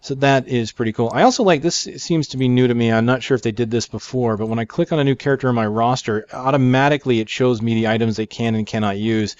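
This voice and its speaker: A man's voice: mid-range voice, quick pace, hardly any pauses, darkish tone, clean tone, dry recording. A man hosting a gaming video, explaining things casually and in an upbeat way as he plays. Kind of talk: casual game preview commentary. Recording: dry, slightly dull microphone.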